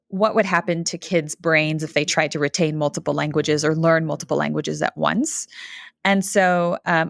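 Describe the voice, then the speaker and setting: A woman's voice: low-pitched, a bit bright, no room sound, studio quality. A woman in her thirties, originally from Canada, speaking as a podcast guest in a reflective, thoughtful way with frequent fillers and light laughs. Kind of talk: conversational interview.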